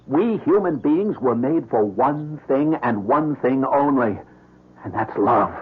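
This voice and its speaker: An actor playing a smooth-talking, cynical younger suitor after money in an old-time radio drama, theatrical, wordy and suave. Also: male voice, medium pitch, expressive, slow. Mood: ardent, teasing. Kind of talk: old-time radio drama acting and first-person narration. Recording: tinny band-limited old radio broadcast, music.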